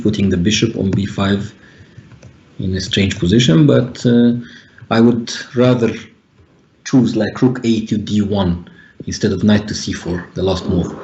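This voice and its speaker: A man's voice: fairly low pitch, a little mellow, lo-fi sound, slight background noise. Hesitant man commentating chess. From Egypt. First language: Arabic. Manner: thinking aloud, frequent hesitations. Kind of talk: live online chess commentary.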